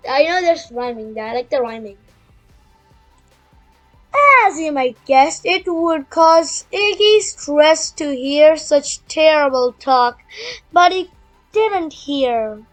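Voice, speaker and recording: A high, female-sounding young reader reading a children's book aloud haltingly, with chatty asides. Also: low-quality recording. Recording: music playing behind.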